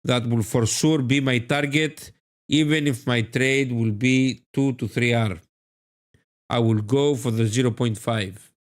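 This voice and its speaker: A man with a Greek accent hosting a live trading stream, reading viewer comments and answering them warmly, often repeating his phrases. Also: medium pitch, steady tone, measured pace. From Greece. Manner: effusive, repeats phrases, calls viewers "my beloved friend".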